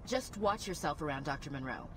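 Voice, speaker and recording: A confident military officer in a video game. Her lowish voice moves with lively intonation and brisk assurance, over faint background noise. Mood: brisk, assured.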